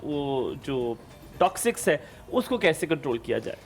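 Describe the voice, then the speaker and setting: A man's voice: fairly high pitch, normal speed, soft background music. A man hosting a live morning talk show, chatting and joking with guests and slipping English phrases into his questions. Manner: lively, mixes English phrases, jokey. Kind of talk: studio talk show chat. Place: TV studio.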